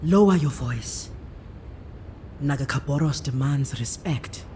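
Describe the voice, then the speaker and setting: A woman playing a proud, devout island priestess in a fantasy game, speaking with solemn, measured authority. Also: rumbling low, lively intonation, slight background noise, heavily acted. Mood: solemn, reverent. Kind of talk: fantasy game character acting. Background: music.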